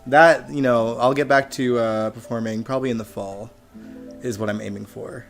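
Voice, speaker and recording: A man hosting a casual live stream, chatty and animated, laughing often, with a slightly hoarse voice. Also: medium pitch, normal speed. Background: music playing faintly.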